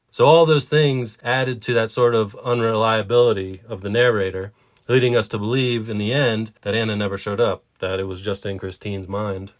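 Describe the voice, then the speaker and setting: A man co-hosting a writing podcast, talking through a story in a reflective, explanatory way. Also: on the low side. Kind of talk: conversational literary discussion.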